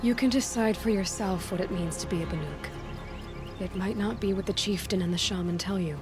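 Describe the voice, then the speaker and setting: An actress playing a determined young outlander hunter in a video game, direct and earnest. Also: female voice, medium pitch, fairly quick, lightly acted. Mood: concerned, earnest. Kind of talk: natural video game acting. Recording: music under the voice.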